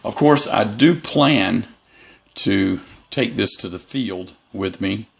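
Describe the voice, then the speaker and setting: A man's voice: lowish voice, slow pace, gravelly, a bit bright. A middle-aged man giving a casual, unscripted product review in a rambling, folksy, opinionated way. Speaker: radio hobbyist reviewer. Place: room in a house.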